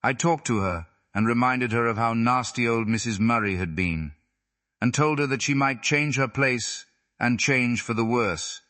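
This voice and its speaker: A man's voice: medium pitch, normal speed, fairly bright tone, pitched above the performer's own voice, close to an everyday voice. A man voicing a fretful young wife who chatters in old-fashioned, gossipy domestic speech in an audiobook.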